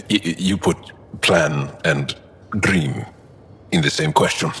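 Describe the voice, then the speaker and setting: An actor playing an earnest, guarded male alien fighter in a video game, speaking in deliberate, formal phrases. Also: a bass voice, vocal fry, fairly bright tone, slightly rough, exaggerated voice. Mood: wry, earnest. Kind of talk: video game dialogue acting.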